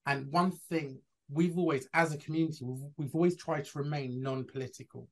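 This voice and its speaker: A man hosts a podcast interview, asking long questions that stumble and restart. He often apologizes mid-thought. His voice sits a little higher than most men's. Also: steady tone, brisk. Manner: hesitant, apologetic, many restarts. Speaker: podcast host.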